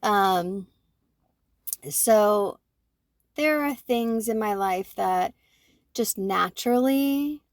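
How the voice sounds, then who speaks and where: A woman in her forties, co-hosting a podcast, talking through her own thoughts in an animated way and often checking in with "right?". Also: mid-range voice, extremely slow, long pauses, dry recording, studio quality. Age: 40s. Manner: animated, self-reflective, fond of tag questions like "right?". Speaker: podcast co-host. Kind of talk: casual conversation.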